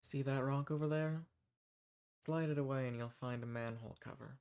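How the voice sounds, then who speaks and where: A man acts out an undercover rebel in a fantasy roleplay audio drama, speaking straight to the listener. His voice sits at an average male pitch. The phrasing is plain and folksy, that of a hunter with a secret cause. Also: steady tone, moderate pace, darkish tone, a little hiss or hum, close to an everyday voice. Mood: friendly, reassuring. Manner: folksy, reassuring.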